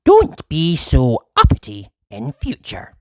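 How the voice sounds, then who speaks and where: A tiny goblin servant with a squeaky, fussy voice. Every word comes out slow and drawn out, pitched far above a normal speaking voice, in a dry, close sound. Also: male voice, wildly swooping pitch, warm tone, no room sound, heavily acted. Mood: scolding. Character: small squeaky male goblin servant, formal.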